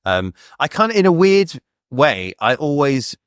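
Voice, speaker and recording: A man's voice: fairly high pitch, big pitch swings, unhurried, clear, resonant tone, no room sound. A man hosting a conversational interview in a podcast studio, putting questions and his own recollections to a guest.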